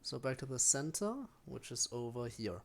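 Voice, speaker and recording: Game streamer, mid-range pitch, casual off-the-cuff play-by-play, talking to self and to on-screen enemies. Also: moderate pace, gravelly. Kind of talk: casual live gameplay commentary.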